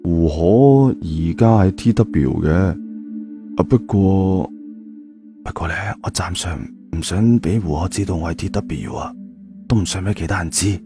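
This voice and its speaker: A man's voice: low voice, normal speed, over music. A man voicing an evasive, wheedling young boyfriend in an audio story, hesitant and placating. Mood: awkward, evasive. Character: evasive, wheedling young man caught between two girlfriends.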